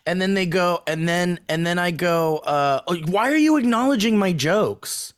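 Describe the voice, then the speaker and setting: Male co-host, playful, laughs often, bouncy higher voice, casual stream. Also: expressive, normal speed. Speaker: podcast co-host. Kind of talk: casual livestream chat. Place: home in Los Angeles valley.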